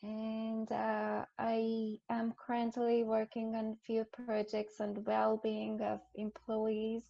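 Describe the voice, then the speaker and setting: A woman introducing herself as a consultant on a video call, speaking in a measured way with many pauses. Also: mid-range voice, creaky, dark tone. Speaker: sustainability consultant. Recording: dull lo-fi video call audio.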